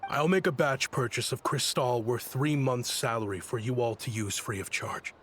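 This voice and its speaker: An actor playing a smooth, contrite town liaison in a video game, with a pleading, theatrical manner. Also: male voice, mid-range voice, moderate pace, a bit bright, a little hiss or hum, close to an everyday voice.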